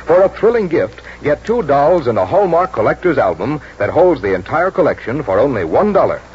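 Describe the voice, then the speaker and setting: A man's voice: on the high side, sing-song, a little fast, breathy edge. A man working as a 1940s radio announcer, reading a scripted advertisement with polished enthusiasm. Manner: polished, enthusiastic sales delivery. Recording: dull, noisy vintage radio broadcast.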